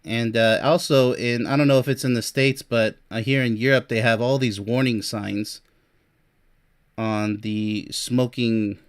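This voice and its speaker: A man's voice: medium pitch, steady tone, measured pace, clean tone. A man in his early forties giving a blunt, boastful monologue with sarcastic asides and short laughs, reading points off a list. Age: 40s. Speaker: relationship coach and vlogger. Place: Europe.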